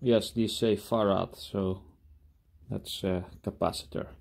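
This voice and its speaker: A man talking casually through a hands-on demonstration in a workshop, halting and thinking aloud as he goes. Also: fairly low pitch, fairly even intonation, creaky, a little mellow. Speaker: hobby scrapper presenting his own video. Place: indoor cluttered workshop.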